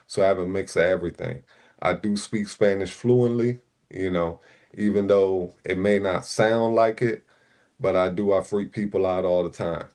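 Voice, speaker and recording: A man's voice: low voice, a little mellow. A man born in Puerto Rico and raised in Newark, New Jersey, a panel regular talking in casual livestream chat, laid-back with many "you know" fillers.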